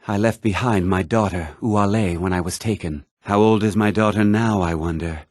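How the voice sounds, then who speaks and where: An actor playing a weary, brooding imprisoned murderer in a fantasy game, with a husky voice. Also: male voice, lowish voice, normal speed, a little mellow, dry recording, clean studio sound, natural voice.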